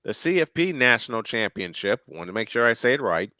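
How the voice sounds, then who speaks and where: A man's voice: mid-range voice, big pitch swings, a little fast, bright, forceful tone, dry recording. A man calling a live football game play by play, with his voice rising sharply on big plays. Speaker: play-by-play commentator. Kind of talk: live game commentary on a fan stream.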